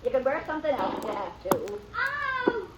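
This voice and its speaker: A woman's voice: high-pitched, big pitch swings, fairly quick, vocal fry, full character voice. A woman playing a bossy, impatient frontier mother with an American accent, nagging in a radio western. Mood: irritated, scolding. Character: bossy, scolding frontier mother, short-tempered. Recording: echoey, distant, very noisy old radio recording.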